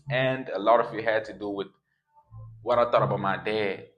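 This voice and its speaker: Slangy young man with a low voice. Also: steady tone, unhurried, highs cut off, faint music behind. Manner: slangy, streetwise, repeats phrases for emphasis. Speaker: YouTuber. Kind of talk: casual motivational vlog.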